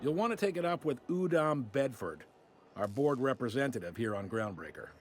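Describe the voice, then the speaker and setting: A man's voice: mid-range voice, sing-song, normal speed, soft background music, lightly acted. A man playing a wry, folksy space-station customs officer in a video game, with a droll, casually apologetic drawl. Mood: reassuring, wry. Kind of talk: video game character acting.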